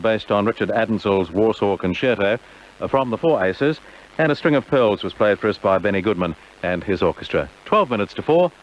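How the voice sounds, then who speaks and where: A man's voice: on the low side, highs cut off, slight background noise. An Australian man hosting a radio programme, announcing records and features, on an old broadcast tape. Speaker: radio host.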